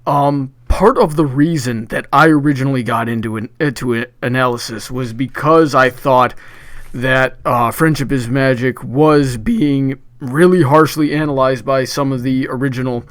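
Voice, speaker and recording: A man's voice: mid-range voice, fairly even intonation, normal speed, no echo. A man hosting a live debate stream in a chatty, upbeat way, with frequent filler restarts. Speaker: debate livestream host. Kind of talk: casual hosting chat.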